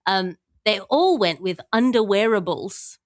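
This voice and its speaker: A woman's voice: medium pitch, animated, a little slow, dry recording, studio quality. A woman hosting a comedy podcast, wry and articulate, mixing banter with scripted bits. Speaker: podcast host.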